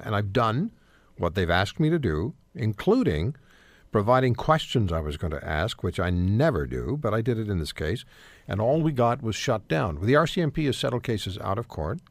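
Radio talk host guy, lowish voice that swings around a lot, firm, cuts people off. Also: sing-song, brisk, no echo. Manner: firm, interrupts callers. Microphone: studio microphone.

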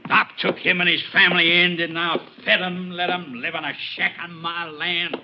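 An actor playing a greedy, bullying Texas farmer with a rural Southern accent and a gruff manner in a 1950s radio drama. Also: male voice, high voice, level tone, moderate pace. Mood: angry, threatening. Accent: rural American Southern. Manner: gruff, threatening, folksy drawl. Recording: dull, noisy vintage radio recording.

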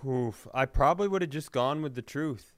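A man's voice: mid-range voice, normal speed, dry recording, clean studio sound. A man telling a personal story in a casual comedic style, self-deprecating and freely swearing.

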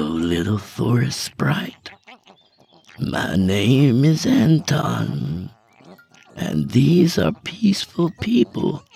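A man voicing a gentle, nervous man who coaxes a wild creature in soft, halting, overly polite words. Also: mid-range voice, creaky, slightly rough, voice pushed up, full character voice. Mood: gentle coaxing. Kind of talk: storybook reading.

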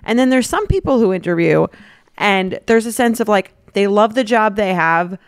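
A woman's voice: mid-range voice, expressive, normal speed, clear, resonant tone, dry recording, studio quality. A woman co-hosting a podcast, giving advice in a casual, conversational way as she thinks aloud. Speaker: podcast co-host.

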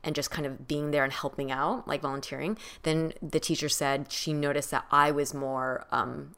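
A woman's voice: low voice, fairly even intonation, normal speed, fairly bright tone, clear, resonant tone. A woman co-hosting a podcast, telling a family story in casual chat with quoted asides. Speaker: podcast co-host.

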